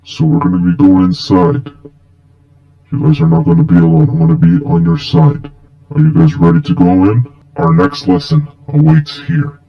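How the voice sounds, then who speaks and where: A man voicing a grave, menacing cyborg Sith mentor in an amateur fan film, lecturing slowly and solemnly with a gravelly voice. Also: fairly high pitch, big pitch swings, highs cut off, exaggerated voice. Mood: grave guiding. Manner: slow, solemn, instructive. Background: music.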